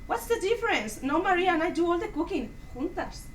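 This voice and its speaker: Mischievous elderly Cuban mother, woman performer, very high pitch, stage reading, distant roomy sound. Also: big pitch swings, brisk. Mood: playful. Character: playful, sociable old Cuban mother, mischievous. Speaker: student actor in a dramatic reading. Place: echoey room at a live performance. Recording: roomy, distant mic, faint noise.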